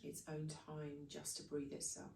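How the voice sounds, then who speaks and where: A woman leading a guided breathing meditation, giving soothing, unhurried instructions in short phrases. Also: sits low, completely flat delivery, creaky, a little mellow. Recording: echoey room, distant from microphone.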